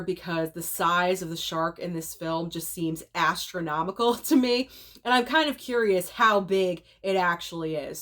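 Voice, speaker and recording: A woman with a cold and a nasal voice, chatting through a casual rundown of upcoming movies for her channel viewers. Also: fairly low pitch. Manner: chatty, opinionated, direct to viewers. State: sick, nasal voice.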